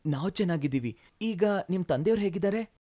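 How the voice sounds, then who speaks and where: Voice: medium pitch, lively intonation, fairly quick, mellow, lightly acted. Polite young demon guy in love, done by the narrator, earnest, pleading, storybook dialogue. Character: earnest young male demon suitor in human form. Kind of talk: animated moral story dialogue.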